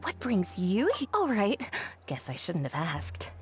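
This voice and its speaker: A woman's voice: fairly low pitch, very animated, a little slow, music in the background. A woman playing a friendly character in a video game. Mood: surprised, friendly.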